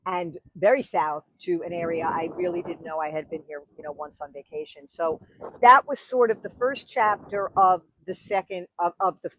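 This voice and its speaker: New york lady in her 60s rambling through her story, lots of ums, low-ish voice. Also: normal speed, a bit bright, a little hiss or hum, poor audio. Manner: chatty storyteller, frequent fillers and restarts. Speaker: wedding officiant guest. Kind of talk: podcast interview.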